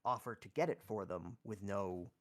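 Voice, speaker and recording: A man's voice: lowish voice, normal speed. A man chatting casually as a player in a tabletop roleplaying game. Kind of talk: casual gameplay chat.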